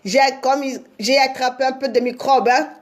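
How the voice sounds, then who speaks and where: A woman marriage counselor on a live stream, talking with a sore throat and a stuffy cold, slow and emphatic, often repeating words. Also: high voice, fairly even intonation. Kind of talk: live-streamed advice talk.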